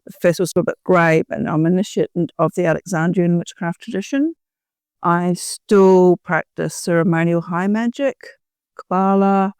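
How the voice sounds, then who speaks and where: Smooth middle-aged New woman. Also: lowish voice, moderate pace, a little mellow, no room sound. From New Zealand. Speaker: podcast guest, author. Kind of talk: casual autobiographical interview talk.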